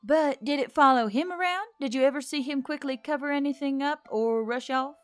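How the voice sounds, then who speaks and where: A sharp-witted, stubborn woman adventurer , played by a female reader, pushes for answers. She is blunt and sarcastic. The fantasy audiobook has music running beneath the voice. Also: high-pitched, a little fast, voice pushed up, natural voice. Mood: insistent. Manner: flat, probing, sarcastic.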